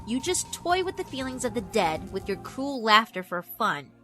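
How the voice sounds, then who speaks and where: A woman voicing an earnest, gentle schoolgirl ghost in a horror visual novel, speaking solemnly. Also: fairly high pitch, big pitch swings, moderate pace, soft background music. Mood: earnest, reproachful.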